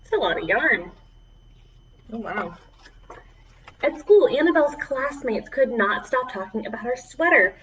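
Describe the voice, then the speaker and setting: A woman's voice: fairly high pitch, expressive, measured pace, long pauses, a bit bright, highs cut off, slight background noise. A woman children's librarian reading aloud to preschoolers, with encouraging asides to the little listeners. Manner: encouraging, addressing small children.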